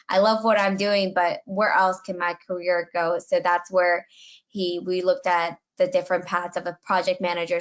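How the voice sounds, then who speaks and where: A woman's voice: fairly low pitch, fairly bright tone, dull audio. A middle-aged woman from Colombia, speaking as a webinar panelist about her career path in an earnest, reflective way.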